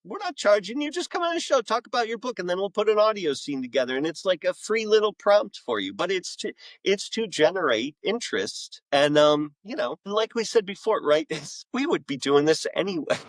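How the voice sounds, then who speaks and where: A man's voice: sits high, big pitch swings, brisk, dry recording. A middle-aged man speaking frankly and self-deprecatingly in a conversational podcast interview as a guest author.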